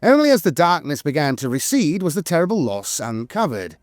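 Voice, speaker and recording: A man reads scripted lore narration in a measured, solemn way, with dramatic emphasis on key phrases. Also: a little high, wildly swooping pitch, no echo, studio quality, soft background music.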